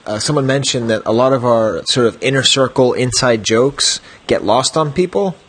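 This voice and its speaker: An American man hosts a podcast, rambling along in a self-deprecating way. He chuckles often. His voice is somewhat husky. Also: mid-range voice, normal speed, fairly bright tone. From United States. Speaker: podcast host. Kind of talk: casual podcast chat.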